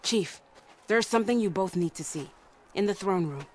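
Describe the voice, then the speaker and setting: Man acting a higher-voiced dutiful soldier. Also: normal speed, slight background noise, close to an everyday voice. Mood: urgent. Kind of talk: dramatic video game acting.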